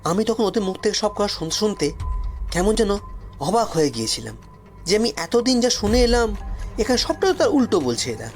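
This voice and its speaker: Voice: medium pitch, big pitch swings, a little fast, darkish tone, soft background music. A storyteller narrating a suspense tale in the first person, in a dramatic audio-story style.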